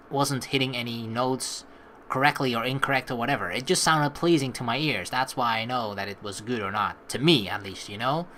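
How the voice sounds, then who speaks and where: A man's voice: fairly high pitch, slight background noise. A young man giving chatty let's play commentary, reading game text aloud and reacting to it. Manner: animated, chatty, reacting as he reads.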